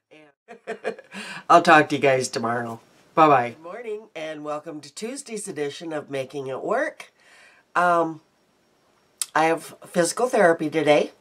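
A woman in her fifties chatting casually about her day and makeup in a rambling daily vlog, sounding congested, recorded on a close webcam in a small room at home. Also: low-pitched. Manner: chatty, rambling, frequent ums. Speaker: beauty vlogger.

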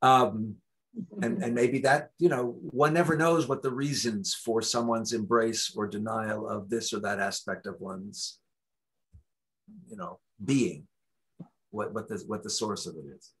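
A man's voice: fairly low pitch, a little slow, breathy edge, low-quality recording. A man in his sixties, a guest lecturer, answering questions on a video call from a home study, talking in long discursive stretches with frequent restarts. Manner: discursive, many restarts, animated. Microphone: video call webcam.

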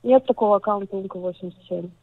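A woman's voice: mid-range voice, fast. A woman working as a customer support agent on the phone, polite, formal and scripted. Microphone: telephone. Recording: dull, dry phone line.

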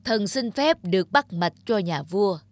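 A woman's voice: medium pitch, animated, a little slow, no room sound, natural voice. A woman voicing a self-assured male royal physician in a cartoon, formal, learned and respectful. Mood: confident, deferential. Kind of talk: cartoon voice acting.

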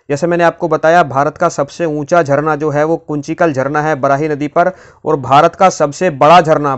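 Man teaching exam facts, smooth voice. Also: fairly high pitch, lo-fi sound. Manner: repeats key facts, quizzes the viewer. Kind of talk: exam-prep geography lesson.

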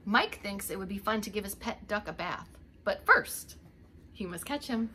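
A woman's voice: medium pitch, animated, moderate pace, sharp tone, slight background noise. A middle-aged woman reading a picture book aloud as a preschool teacher, expressively, with little asides to young children. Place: indoors, home living room.

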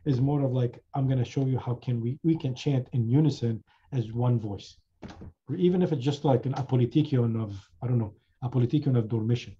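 Dark-voiced man rambling on a video call. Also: medium pitch, fairly quick, highs cut off, a little hiss or hum. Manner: rambling, many fillers and restarts. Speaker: chanter and instructor. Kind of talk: casual discussion in an online class.

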